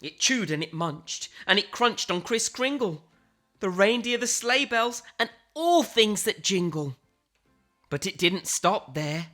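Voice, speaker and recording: Animated, bright-voiced woman reading a storybook. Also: medium pitch, soft background music. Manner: theatrical, dramatic emphasis and suspenseful pauses. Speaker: storybook reader. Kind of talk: animated children's picture book read-aloud.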